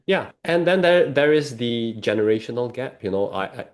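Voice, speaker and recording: A man from Singapore, a coach being interviewed, explaining in a measured, conversational way. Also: mid-range voice, animated. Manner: measured, explanatory, checks with "right?". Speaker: agile coach, interview guest.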